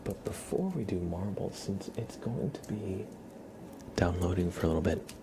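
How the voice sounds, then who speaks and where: A man hosting an ASMR livestream, chatting casually to viewers in a soft, mostly whispered, gentle and unhurried murmur. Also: low voice, animated, background noise.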